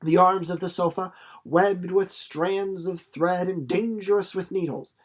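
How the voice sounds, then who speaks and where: A narrator reading a children's novel aloud in an expressive, deliberately phrased storytelling way. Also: medium pitch. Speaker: audiobook reader.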